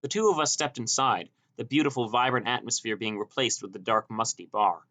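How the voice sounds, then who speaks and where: A man's voice: mid-range voice, a little fast, sharp tone. A man reading a scripted first-person story as an audiobook narrator.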